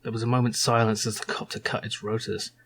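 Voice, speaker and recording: A man's voice: fairly low pitch, flat delivery, fast talker, husky. A man narrating as a young male survivor telling his own story in a wry, reflective storybook style.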